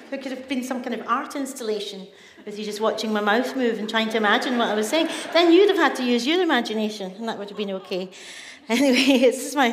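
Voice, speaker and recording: A woman from Scotland with a Scottish accent, a writer telling stories live on stage in a chatty, laughing way. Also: fairly high pitch. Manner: chatty, laughing, animated storyteller. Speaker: writer speaking at a festival. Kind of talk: live storytelling talk. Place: stage in a large hall. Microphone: stage microphone. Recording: long reverb of a big hall.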